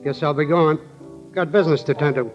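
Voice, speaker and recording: Man playing a guarded, higher-pitched older American horseman. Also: fairly even intonation, a little fast, lightly acted. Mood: reserved. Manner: terse, guarded. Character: guarded, gruff older horse trainer, gentle-faced man. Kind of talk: 1940s radio acting. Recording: dull vintage radio recording, faint music.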